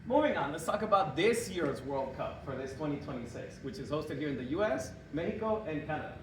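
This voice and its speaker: A young man from Honduras giving a lively, joking talk and quizzing a live audience. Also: fairly high pitch, a little fast. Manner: joking, quizzing the audience, chuckling at own jokes. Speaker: presenter. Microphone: room mic from a distance. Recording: echoey hall recorded from far off, background noise.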